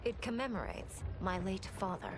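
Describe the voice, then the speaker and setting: An actress playing a proud young warrior princess in a fantasy video game, formal and commanding. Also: female voice, mid-range voice, big pitch swings, normal speed, vocal fry, background noise. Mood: regal, courteous. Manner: formal, imperious. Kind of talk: scripted fantasy video game cutscene acting.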